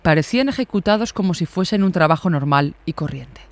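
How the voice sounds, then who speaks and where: A man's voice: fairly high pitch, expressive, brisk. A man reading serious scripted true-crime narration.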